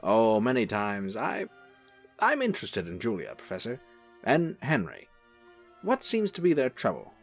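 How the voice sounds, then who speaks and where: An actor playing a charming, mysterious angel in human guise, ingratiating, in period drama style. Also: male voice, fairly low pitch, wildly swooping pitch, a little slow, faint music behind, exaggerated voice. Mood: probing, genial. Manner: smooth-talking, ingratiating. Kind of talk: period radio-drama acting.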